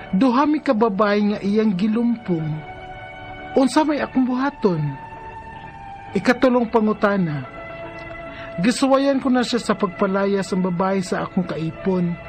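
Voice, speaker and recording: An actress with a Cebuano Filipino accent playing a distressed, betrayed live-in wife in a radio drama. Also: female voice, mid-range voice, a little mellow, close to an everyday voice.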